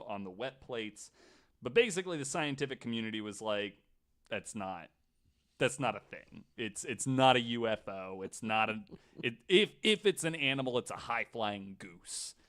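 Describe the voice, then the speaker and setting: A man co-hosting a podcast, telling a story casually in a skeptical, wry, somewhat halting way. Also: fairly low pitch, fairly bright tone, clear, resonant tone. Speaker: podcast co-host.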